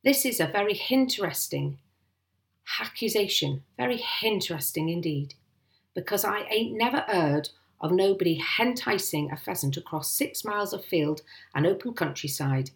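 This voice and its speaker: A woman's voice: on the low side, animated, moderate pace, echoey room. A pompous country police sergeant from a storybook, acted out by a woman. He speaks ponderously and officially, putting stray aitches on his words and mangling his grammar. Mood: officious, probing. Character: pompous, stern male village police sergeant.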